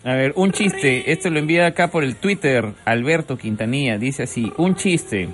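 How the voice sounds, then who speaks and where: A man's voice: mid-range voice, expressive, moderate pace, fairly bright tone, faint music behind. A man hosting a comic radio segment, playfully teasing callers and reading jokes aloud. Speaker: radio host.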